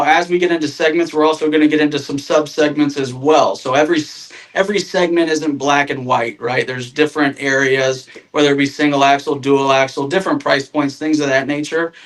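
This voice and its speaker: Casting: thirties man from Northern Indiana, slightly high voice, modest and rambling, casual podcast guest over a call. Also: rough recording. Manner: self-deprecating, lots of fillers and restarts. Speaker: guest, RV sales manager.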